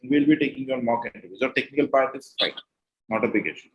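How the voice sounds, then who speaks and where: A man's voice: medium pitch, quick pace, highs cut off. A man with an Indian accent working as a mock interviewer, instructive, repeating and rephrasing his questions and advice. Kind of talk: interview questioning and feedback, switching between two languages.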